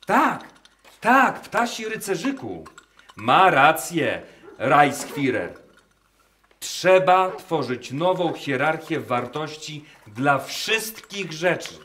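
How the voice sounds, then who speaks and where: Grandiose dreamy researcher in a radio play, really drawn out, lively pitch swings. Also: male voice, slow, slight background noise, full character voice. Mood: rapt, grandiose. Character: visionary, grandiose researcher raptly dreaming of promised land. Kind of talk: theatrical radio drama acting.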